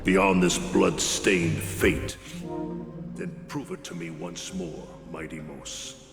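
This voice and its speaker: A man's voice: sits low, expressive, slow pace, gravelly, full character voice. A man playing a stern, imperious elder in solemn video game acting. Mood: stern, challenging. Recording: echoey, long reverb, music.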